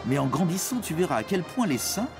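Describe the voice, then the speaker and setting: A man's voice: fairly high pitch, very animated, fairly quick. A man narrating an educational cartoon in a playful storytelling way, speaking directly to a young listener. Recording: music under the voice. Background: background music.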